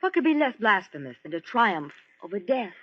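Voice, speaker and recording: An actress playing a scientist's devoted, fervent wife in a 1940s radio horror drama, eager and theatrical. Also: female voice, medium pitch, sing-song, fairly quick. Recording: dull, dry 1940s radio recording.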